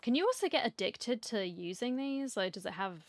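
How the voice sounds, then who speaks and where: A woman's voice: fairly high pitch, sing-song, moderate pace, no room sound. A woman with a British accent giving casual, dry and cheeky commentary while playing a game. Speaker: game streamer.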